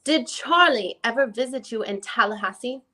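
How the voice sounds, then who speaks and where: A woman plays a methodical female attorney questioning a witness. Her voice is bright and forceful, a little high. The questioning is formal, deliberate and probing. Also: expressive. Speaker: reader playing a lawyer. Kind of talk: dramatic reading of a deposition.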